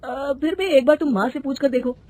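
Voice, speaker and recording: Woman doing a calm young husband. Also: high-pitched, fairly quick, pitched above the performer's own voice, close to an everyday voice. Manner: gentle, reasonable.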